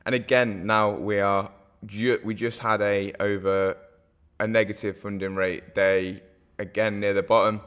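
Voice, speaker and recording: A hoarse man, walking viewers through market charts in a casual, rambling way, full of fillers and self-corrections. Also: lowish voice, little pitch movement, measured pace, fairly bright tone, dull audio. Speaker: crypto market analyst host.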